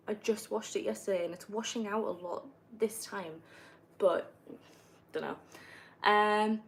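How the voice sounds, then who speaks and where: A young woman from northeast England with a soft northeastern accent, chatting casually on a livestream while gaming, rambling with many fillers and self-corrections. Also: mid-range voice, slight background noise. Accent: northeast English, softened. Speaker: livestreamer answering viewer questions. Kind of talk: casual live-stream chat while gaming.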